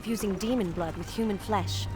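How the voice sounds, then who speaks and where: A woman's voice: mid-range voice, moderate pace, natural voice. An actress playing an earnest, commanding young noblewoman mage in a fantasy video game. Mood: grave, explanatory. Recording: game music behind the voice.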